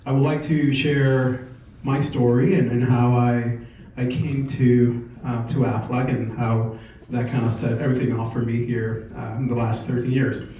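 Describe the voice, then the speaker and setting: A man in his forties from Puerto Rico gives an informal presentation, telling a personal story in a conversational, halting way. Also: mid-range voice, level tone, dark tone, far from the mic. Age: 40s. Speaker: speaker at a company sales meeting. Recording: echoey room from afar, muffled, faint noise.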